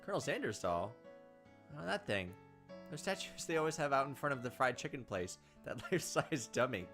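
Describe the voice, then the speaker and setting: Game host, mid-pitched voice, narrates story text with casual asides over faint music. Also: big pitch swings, a little fast, fairly bright tone. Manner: reads aloud and drops in offhand asides. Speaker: let's play host reading a visual novel aloud.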